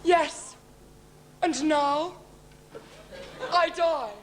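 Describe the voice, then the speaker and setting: Woman doing a plucky panto fairy, high urgent voice, really noisy amateur stage tape. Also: drawn-out words. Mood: melodramatic. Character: Tank, plucky, melodramatic female fairy sidekick. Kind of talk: live comic pantomime acting.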